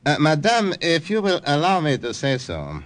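An actor playing a stern, threatening German commandant with a German accent, in 1940s radio drama style. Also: male voice, on the high side, wildly swooping pitch, normal speed. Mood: menacing. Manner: clipped, menacing.

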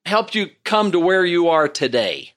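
A man's voice: sits high, sing-song, unhurried, fairly bright tone. A man hosting an interview, asking questions in an effusive, enthusiastic way. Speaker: host. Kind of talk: interview host.

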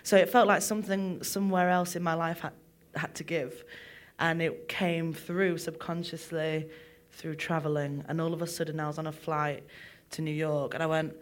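Candid, expressive woman, low voice. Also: normal speed. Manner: open, expressive, swings from soft to loud. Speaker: musician guest. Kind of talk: candid interview conversation.

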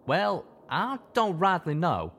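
A man's voice: high voice, huge pitch swings, takes it slowly, a bit bright, slightly rough, pitched above the performer's own voice, exaggerated voice. Man doing a folksy anguished pony stallion. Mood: earnest. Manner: folksy drawl, strained and emotional. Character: young male pony, rough-spoken, guilt-torn and earnest.

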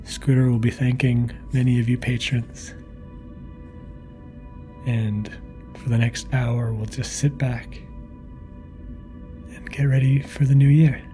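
Soft-spoken man with a mellow voice. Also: medium pitch, flat delivery, slow, pauses often, soft background music. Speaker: podcast host introducing a special episode. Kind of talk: soft bedtime podcast introduction.

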